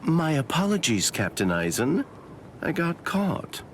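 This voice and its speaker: A man's voice: a little high, big pitch swings, a little slow, slight background noise. A man playing a nonchalant, mocking villain's henchman in an anime game dub, casual and sardonic. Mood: mock regret.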